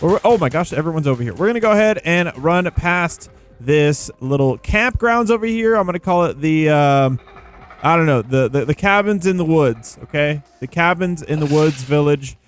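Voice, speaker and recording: A man's voice: a little high, lively intonation, normal speed. A man hosting a gaming video with casual, rambling commentary, playful and full of informal slang. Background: background music.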